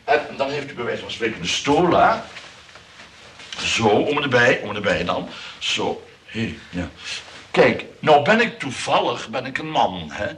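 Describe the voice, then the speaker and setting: Fussy, lonely male shopkeeper, slow and rambling, old radio comedy with background noise. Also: medium pitch, sing-song, a bit bright. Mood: eager, flustered. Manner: hesitant, rambling, repeating himself. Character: lonely, fussy shopkeeper shyly courting a nurse. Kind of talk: comic radio drama acting. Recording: noisy old radio play recording.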